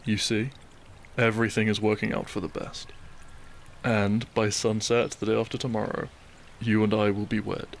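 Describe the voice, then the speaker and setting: An actor playing a devoted gentleman doctor and bridegroom in a romantic audio drama, tender, earnest and polite. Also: fairly low pitch, level tone, moderate pace, creaky, a little hiss or hum. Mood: loving, reassuring.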